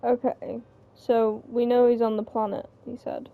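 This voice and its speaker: A woman's voice: on the high side, level tone, unhurried, creaky. A woman reading a story aloud in a casual table read. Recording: dull, noisy home recording.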